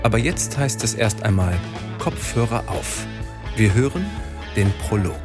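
A man's voice: lowish voice, animated, unhurried, loud music behind the voice. A man presenting an audio feature in scripted narration.

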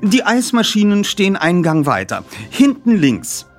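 Man doing a dry female cashier, raised pitch. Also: wildly swooping pitch, faint music behind, full character voice. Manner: dry, quick. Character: quick, dry-witted female shop cashier.

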